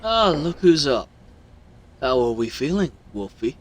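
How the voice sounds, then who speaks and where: A man's voice: medium pitch, very animated, unhurried. A man voicing a smug, mocking villain with theatrical, condescending delivery in an audio roleplay. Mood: smug, taunting. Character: smug, mocking male villain crime broker.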